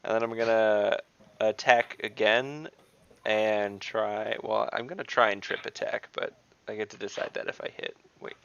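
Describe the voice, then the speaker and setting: A man's voice: fairly low pitch, fairly even intonation, normal speed, gravelly, fairly bright tone, clear, resonant tone, lo-fi sound. A man chatting casually as a player in an online tabletop game, jokey and often thinking aloud.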